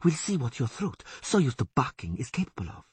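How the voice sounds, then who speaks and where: A man's voice: mid-range voice, very animated, brisk, darkish tone, husky, no echo, pitched above the performer's own voice. A man reading a story, voicing a cruel, spiteful young female elf warrior who hisses her taunts. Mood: hissing contempt. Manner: hissing, contemptuous taunts.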